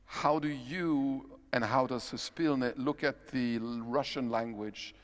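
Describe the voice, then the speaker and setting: A man moderates a conference panel in a conversational way, asking long framing questions at a middle pitch. A long reverb from a large hall hangs on his voice. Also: slow.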